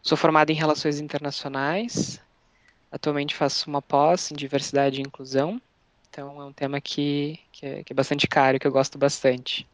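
A young man, a podcast guest, explaining his work in an earnest, measured way with frequent fillers. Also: fairly high pitch, level tone, dry recording. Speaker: podcast guest, employee network leader.

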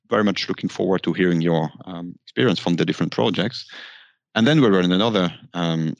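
A man hosting a corporate tech podcast, asking questions in a formal, slightly halting way. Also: low voice, no echo. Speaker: podcast host.

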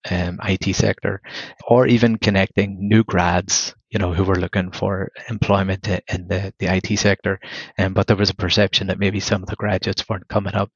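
Low flat Northern Irish man, husky. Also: no room sound, lo-fi sound. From Northern Ireland. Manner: measured, with frequent restarts. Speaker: guest manager. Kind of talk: podcast interview.

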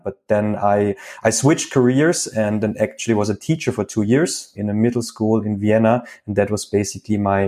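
Austrian man, 30s, podcast guest; lowish voice, thorough explainer with filler ums. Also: a little mellow. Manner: explanatory, with frequent ums and repeated words. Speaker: guest, venture capital associate. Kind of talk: podcast interview.

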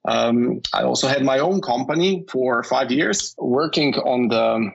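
A man's voice: mid-range voice, unhurried, gravelly, dull audio, a little hiss or hum. A man from Slovenia being interviewed, talking through his career with many fillers and restarts. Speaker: guest, telecom industry manager. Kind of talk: conversational interview.

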